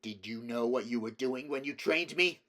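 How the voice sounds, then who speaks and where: A man voicing an intense teenage boy who swings between anguished shouts and cold, iron-controlled speech in an audiobook reading. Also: medium pitch, moderate pace, close to an everyday voice. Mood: bitter.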